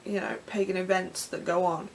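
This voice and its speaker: A young Englishwoman with a croaky throat, rambling casually through vlog questions from her bedroom with plenty of ums and restarts. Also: lowish voice, fairly even intonation, fairly quick, a little hiss or hum, rough recording. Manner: chatty, self-deprecating, many ums and restarts. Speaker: pagan vlogger. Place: bedroom in front of her altar.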